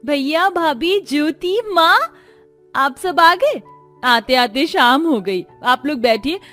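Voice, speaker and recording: Woman voicing a high, eager young wife. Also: lively intonation, slow, a bit bright, faint music behind, heavily acted. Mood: cheerful, eager. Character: chatty, affectionate young married woman, eager hostess. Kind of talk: Hindi moral-story cartoon dialogue.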